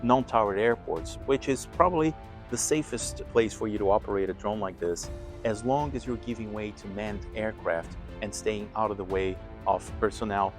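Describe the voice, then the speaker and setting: A man's voice: fairly low pitch, moderate pace, over music. A man hosting an aviation vlog, explaining his equipment in a casual, conversational way. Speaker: aviation vlog host and pilot. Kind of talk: casual explanatory gear walkthrough to camera.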